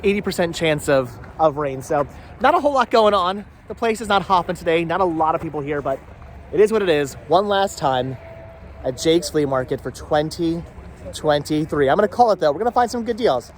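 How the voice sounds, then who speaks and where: Male vlog host, fairly high voice, upbeat and chatty, casual walk-around delivery, light outdoor noise behind. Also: animated, a little fast. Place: outdoor flea market on a cold day. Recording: faint outdoor background noise.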